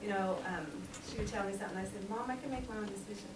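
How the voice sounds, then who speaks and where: A woman's voice: mid-range voice, racing. Brief: woman in her sixties, lay preacher, chatty with frequent laughs, recorded far off in a roomy, noisy church. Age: 60s. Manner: chatty, laughs often, um-filled. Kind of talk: informal church sermon. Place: small church hall. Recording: echoey room, distant mic, noisy.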